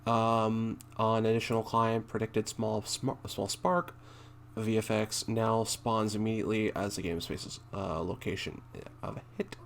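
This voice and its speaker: A man doing casual commentary as he reads game notes aloud, halting and thinking out loud, with a blunt streak. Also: on the low side, flat delivery, gravelly, slight background noise. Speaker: gaming content creator and streamer.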